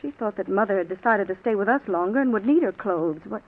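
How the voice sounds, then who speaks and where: An actress playing a worried, insistent wife in a 1940s radio drama, earnest and full of questions. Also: female voice, mid-range voice, expressive, fast, close to an everyday voice. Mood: anxious, determined. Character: worried, insistent wife, anxious about her missing mother. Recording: tinny, hissy 1940s radio recording.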